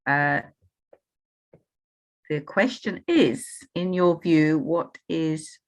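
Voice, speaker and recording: A woman hosting an online question session, thanking and relaying questions in a measured, somewhat hesitant way. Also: low voice. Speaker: host, moderator. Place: online call. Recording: slightly muffled online call audio.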